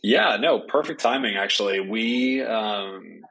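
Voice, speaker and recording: A man's voice: on the low side, slow pace, vocal fry, fairly bright tone, rough recording. A man in his twenties from Norway, a startup CEO answering interview questions with frequent "uh" fillers. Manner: hesitant, many "uh" fillers.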